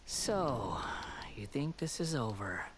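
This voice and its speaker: A man's voice: mid-range voice, sing-song, slow pace, a little hiss or hum. An actor playing an arrogant young man who believes he is a god, haughty and taunting in a theatrical video game style. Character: arrogant young male would-be god, mocking and grandiose. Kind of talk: video game dialogue acting.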